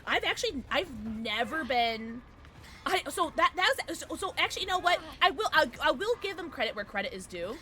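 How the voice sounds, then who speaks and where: A woman streamer with a low alto voice, chatting casually with her viewers while she plays, sarcastic and quick to break into squeals and laughs. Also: sing-song, sharp tone, faint music behind. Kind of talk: casual livestream chat while gaming.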